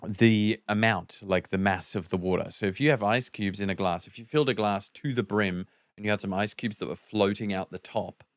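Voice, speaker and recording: A man's voice: fairly low pitch, steady tone, fairly quick, few pauses, no room sound, clean studio sound. A man talking casually as a podcast co-host, joking and a little self-deprecating.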